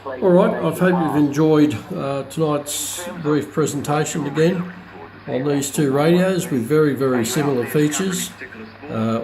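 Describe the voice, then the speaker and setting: A man giving a casual, unscripted product demonstration as presenter, halting and searching for words. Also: mid-range voice, rough recording. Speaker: presenter of a hobbyist radio review video. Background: radio broadcast voices playing from the demonstrated receiver.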